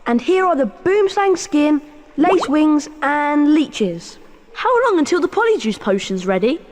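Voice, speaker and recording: A woman's voice: high voice, sing-song, measured pace, darkish tone, long reverb tail. A woman playing a young, plucky but wary schoolboy wizard in a video game.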